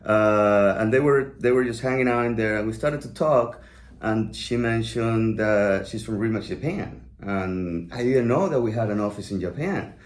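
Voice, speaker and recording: A man's voice: on the low side, moderate pace. A man from Venezuela, hosting a podcast in a chatty, enthusiastic, rambling way. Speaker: podcast host. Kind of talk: casual chat.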